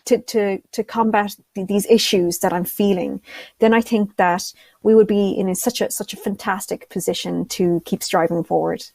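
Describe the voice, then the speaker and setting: A woman's voice: medium pitch, fairly even intonation, normal speed, gravelly, a little mellow, no echo. A young woman speaking enthusiastically as a panelist on an online call, with many fillers and restarts. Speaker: panelist, content creator. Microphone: video call.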